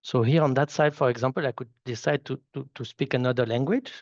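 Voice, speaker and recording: A man whose first language is French, speaking with a Swiss French accent as he gives a live software demo and often restarts and repeats words. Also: medium pitch, no echo, highs cut off. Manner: halting, repeats words while clicking through. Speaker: solution architect giving a software demo. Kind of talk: live product demo walkthrough.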